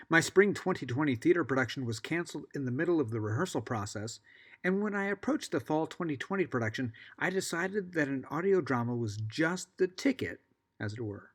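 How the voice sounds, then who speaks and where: A middle-aged American man giving a scripted podcast introduction, articulate and measured, with wry asides. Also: medium pitch. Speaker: podcast host.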